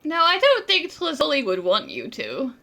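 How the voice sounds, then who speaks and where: A woman's voice: high voice, huge pitch swings, moderate pace, vocal fry, sharp tone. A woman giving casual, wry commentary while playing a video game. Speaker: gaming channel player.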